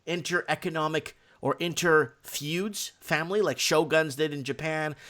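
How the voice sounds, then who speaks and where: A man hosting a live call-in stream, chatting casually and unscripted in a halting, rambling way. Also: a little high, steady tone, bright, forceful tone.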